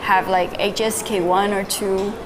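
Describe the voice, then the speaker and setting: A young woman from Vietnam, a native Vietnamese speaker, telling her story as a podcast guest in a relaxed way with fillers and soft laughs. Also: medium pitch, unhurried. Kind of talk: casual interview, recounting her story. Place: live-streamed online call. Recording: audible background noise.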